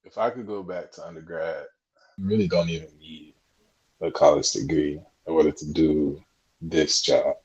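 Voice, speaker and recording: A young man with a very low, husky voice explains things casually as an interview guest. His delivery stays fairly flat and conversational, and the audio is dull and muffled. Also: normal speed. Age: 20s. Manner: explanatory, conversational, frequent "you know". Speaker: podcast guest, air traffic controller trainee.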